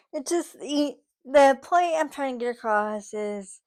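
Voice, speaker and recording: Woman, 30s, vlogger, casual rambling monologue, slightly high voice, unhurried delivery. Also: darkish tone. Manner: rambling, many fillers and restarts.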